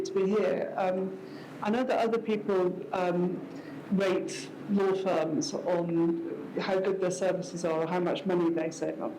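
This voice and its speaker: A woman's voice: mid-range voice, dark tone, a little hiss or hum. A woman in her sixties who grew up in Scotland, telling her story to an audience at a live event. She is dry and self-mocking, and she often stops and restarts her sentences. The sound is roomy, as in a hall. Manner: wry, self-deprecating, many restarts and fillers. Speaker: guest speaker. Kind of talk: conversational talk on stage.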